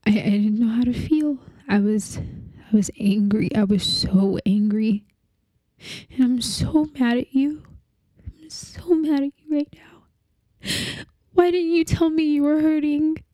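A young woman playing a grieving partner in a dramatic monologue, with a halting, tearful delivery full of restarts. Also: on the high side, mellow, no echo. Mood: angry.

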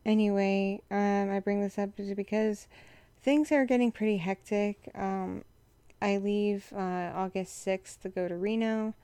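Young woman, froggy hoarse voice, gravelly fry, smooth underneath, rambly chatty update. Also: mid-range voice, mellow, no echo. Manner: rambling, frank, many fillers. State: hoarse, froggy from a respiratory infection. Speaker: content creator addressing patrons. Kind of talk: casual update to supporters.

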